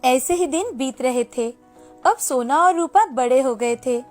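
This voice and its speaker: A woman's voice: high-pitched, unhurried, darkish tone. A woman narrating a scripted storybook tale as the storyteller, with music behind her. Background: background music.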